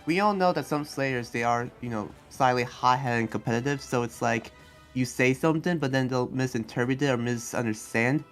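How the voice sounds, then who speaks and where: Music plays behind a man acting as an earnest demon slayer who guards his town. He explains himself in a halting, rambling way, with an average-pitched voice, as in an improvised video game roleplay. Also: lightly acted. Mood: defensive, earnest. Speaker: roleplay player. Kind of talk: improvised Minecraft anime roleplay dialogue. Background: background music.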